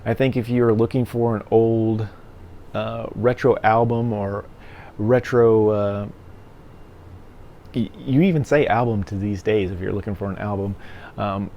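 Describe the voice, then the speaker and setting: A man's voice: lowish voice, fairly even intonation, measured pace, dull audio. A middle-aged man giving his opinions in a casual, conversational vlog style, rambling along as a reviewer. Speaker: music gear reviewer and vlogger. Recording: clear background noise.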